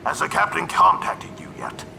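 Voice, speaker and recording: A man playing a menacing gravelly general. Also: high voice, moderate pace, music in the background. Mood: cold. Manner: threatening, barking. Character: menacing gruff male army general. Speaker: voice actor. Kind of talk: fan audio drama acting.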